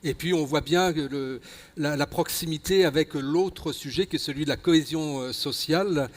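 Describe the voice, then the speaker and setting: Higher-pitched Frenchman moderating in a reverberant hall. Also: moderate pace. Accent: French from France. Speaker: panel moderator. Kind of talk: conference moderation, summing up and prompting. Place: convention plenary hall.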